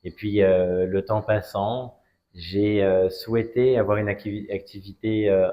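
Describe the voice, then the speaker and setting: A man in his forties from Strasbourg, France, telling his story as an interview guest in a measured, hesitant way with frequent pauses. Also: lowish voice, fairly even intonation, muffled recording. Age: 40s. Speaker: interview guest, cigar brand ambassador. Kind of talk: conversational interview, personal story.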